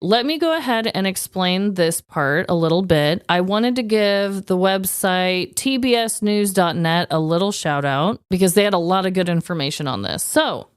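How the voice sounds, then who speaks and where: Expressive Midwestern American woman, dry close sound. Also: fairly low pitch, moderate pace, few pauses, clear, resonant tone, no room sound. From rural Midwest United States. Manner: expressive, exclaiming, informal. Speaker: podcast co-host. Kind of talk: casual comedic podcast chat.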